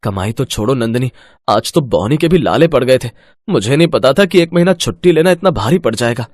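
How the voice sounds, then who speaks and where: A man's voice: mid-range voice, lively intonation, a little fast, darkish tone, no echo, clean studio sound, natural voice. A man playing an earnest, plain-spoken young husband who sells food from a cart in a moral story. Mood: dejected.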